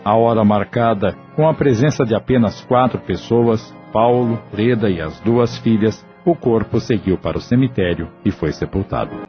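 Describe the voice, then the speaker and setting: A man narrating an audio drama in a measured, solemn way. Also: on the low side. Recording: dull lo-fi audio with faint music.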